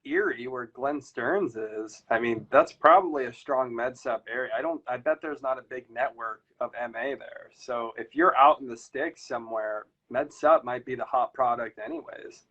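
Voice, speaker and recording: A man's voice: medium pitch, normal speed, hardly any pauses, a bit bright. A man chatting casually as host, rambling along with plenty of filler words. His voice sits in an ordinary middle register. The audio is a little dull and lo-fi. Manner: loose, rambling, many filler likes. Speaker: podcast host. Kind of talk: casual chat.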